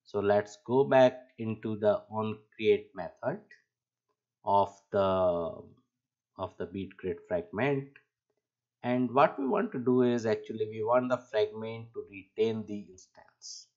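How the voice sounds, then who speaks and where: Man, lowish voice, programming tutor, halting step-by-step delivery, unscripted screencast, muffled lo-fi recording. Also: darkish tone. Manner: halting, step-by-step explaining while typing. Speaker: programming instructor.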